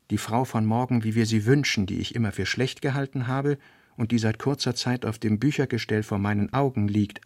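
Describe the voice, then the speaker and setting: A man narrating in a literary radio drama in a measured way, also reading diary notes aloud. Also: medium pitch, creaky, no echo. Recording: dry close studio sound.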